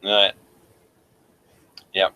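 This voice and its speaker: A British man hosting a casual review chat, moving haltingly from one point to the next. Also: pushed and bright. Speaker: host of a TV review show.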